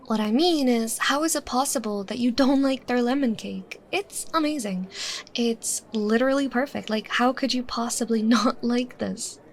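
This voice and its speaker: A woman playing a bubbly, teasing young woman chatting casually with her secret crush in a roleplay, expressive and playful. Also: fairly high pitch, moderate pace, a little hiss or hum, natural voice. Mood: playful disbelief. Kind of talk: roleplay ASMR, acted casual chat.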